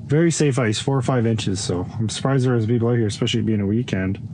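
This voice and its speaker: A young man chatting excitedly to viewers while ice fishing, recorded inside a small tent on a body-worn camera. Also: medium pitch, fast, a little hiss or hum. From Canada. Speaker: fishing vlogger. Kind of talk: casual first-person narration while fishing. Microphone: action camera worn on the body.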